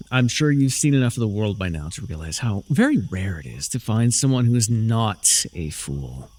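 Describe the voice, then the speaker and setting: A man playing a smug, sardonic young dark sorcerer in a fantasy ASMR roleplay, theatrical and teasing, with dry, drawling mockery. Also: lowish voice.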